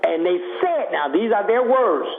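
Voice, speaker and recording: High-voiced man on a thin phone line. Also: expressive, moderate pace, a little hiss or hum. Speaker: reverend and activist, radio guest. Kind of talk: phone-in activist report. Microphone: telephone.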